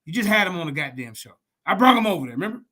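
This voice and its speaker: Man, high voice, bright tone, confrontational, profane, heated talk. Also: big pitch swings, very fast. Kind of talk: heated casual chat.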